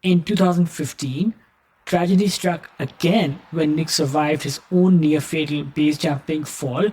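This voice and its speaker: A man's voice: a little high, measured pace, darkish tone, breathy edge, low-quality recording. A man hosting a podcast, reading an earnest scripted introduction and then asking his guest questions in an encouraging way. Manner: earnest, encouraging, addresses listeners as friends. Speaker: podcast host.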